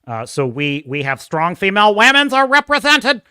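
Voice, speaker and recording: A man's voice: sits high, sing-song, moderate pace, pushed and bright, clean tone, no room sound, clean studio sound. A man hosting a casual livestream, chatting and reading viewer comments, with a self-described whiny, nerdy voice, rambling and laughing often. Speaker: host.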